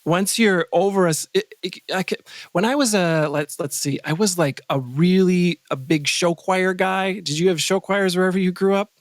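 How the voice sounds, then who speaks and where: A man with a fairly high voice chats casually as an interview guest. He sounds enthusiastic and often stops and restarts while thinking aloud. The sound is very dry, with no room echo. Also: moderate pace. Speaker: podcast guest, composer and sound designer.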